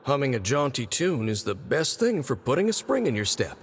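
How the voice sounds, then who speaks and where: An actor playing a kind, easygoing postman in a video game, talking in a gentle, chatty, coaxing way. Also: mid-range voice, sing-song, normal speed, slight background noise, lightly acted. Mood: gentle, caring. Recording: background music under the voice.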